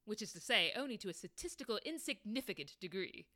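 A woman's voice: medium pitch, sing-song, fast talker, bright, forceful tone, no room sound, clean studio sound. A woman playing a smug, eccentric, clever detective with a wordy, theatrical manner in a comedy audio drama.

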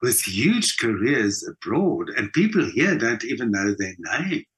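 A man's voice: mid-range voice, very animated, measured pace, fairly bright tone. A man with a South African accent giving an opinionated, emphatic answer in a conversational interview. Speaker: interview guest, theater actor and singer.

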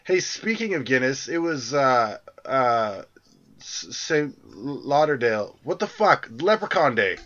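A man's voice: mid-range voice, sing-song, measured pace, fairly bright tone. Crude rambling man on a lo-fi podcast. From Canada. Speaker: podcast co-host. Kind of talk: casual chat.

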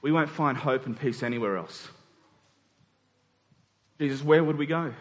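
A man's voice: medium pitch, a little slow. A man preaching a conversational sermon in an informal way, acting out dialogue in everyday words. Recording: long hall reverb.

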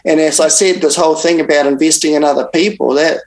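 An older man, a Bible translator, speaking as an interview guest and recounting events in an unhurried way with frequent restarts and pauses. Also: a little high, fairly even intonation, poor audio. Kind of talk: reflective interview storytelling.

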